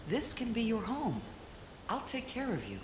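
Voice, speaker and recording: A woman voicing a serene female video game guardian who coaxes and pleads gently. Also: a little high, huge pitch swings, normal speed, background noise. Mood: tender, pleading. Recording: echoing game voice under music.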